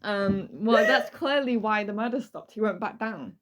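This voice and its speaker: A woman's voice: medium pitch, animated, normal speed. A woman hosting a true crime podcast, telling the story in an informal, jokey, conversational way.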